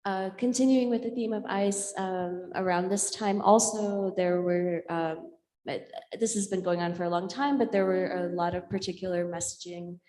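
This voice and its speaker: Echoey, even-toned woman giving an artist talk. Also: fairly low pitch. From India. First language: Urdu. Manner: hesitant, reflective, many pauses with filled ums. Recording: echoey hall with long reverb.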